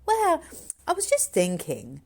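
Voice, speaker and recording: A woman's voice: sits high, wildly swooping pitch, takes it slowly, pitched above the performer's own voice, heavily acted. A woman voicing a thoughtful boy who muses keenly on ghosts and clues in a storybook. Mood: musing, keen.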